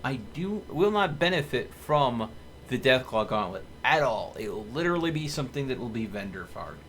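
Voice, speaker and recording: A man commentates casually on his game stream in a mid-range voice that swings up and down in lively fashion. Faint noise sits behind him. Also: medium pitch, moderate pace. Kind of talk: casual live gameplay commentary.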